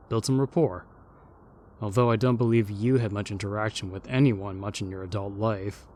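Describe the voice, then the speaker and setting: A man's voice: mid-range voice, darkish tone, close to an everyday voice. A man playing a sardonic long-dead ghost in an audio roleplay, speaking formally with dry condescension. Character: haughty, sardonic long-dead male ghost, named James.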